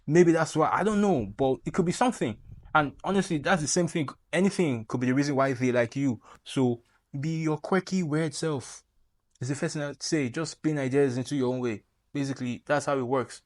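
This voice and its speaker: A man's voice: a little high, a little fast, no room sound. A young man with a Nigerian accent, giving a playful, joking tutorial straight to camera as a YouTube creator. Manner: animated, playful, jokey asides. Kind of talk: casual tutorial talk to camera.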